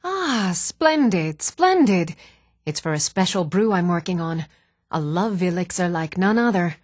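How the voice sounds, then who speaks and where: An actress playing an even-toned townswoman and shopkeeper in a fantasy video game, speaking in measured, clearly enunciated, theatrical phrases. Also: fairly low pitch, dry recording, clean studio sound. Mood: pleased. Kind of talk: scripted video game voice acting.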